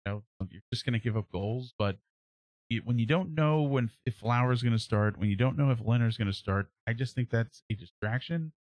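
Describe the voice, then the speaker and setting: A man in his thirties hosting a sports podcast, giving casual analysis in a halting way as he thinks aloud. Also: medium pitch, darkish tone. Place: small indoor room at home, on a livestream webcam.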